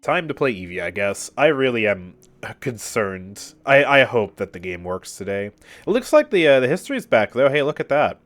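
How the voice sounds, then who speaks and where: A man's voice: mid-range voice, very animated, moderate pace, faint music behind. A man streaming a game from his room, chatting in a casual, upbeat way and laughing often, sounding stuffy and a little nasal from a cold. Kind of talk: casual live gameplay commentary. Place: his own room at home.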